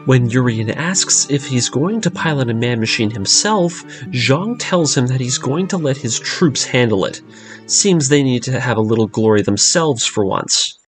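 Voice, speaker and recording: A man's voice: medium pitch, expressive, normal speed, faint music behind. A man reading a scripted recap of an anime story as the narrator of a breakdown series.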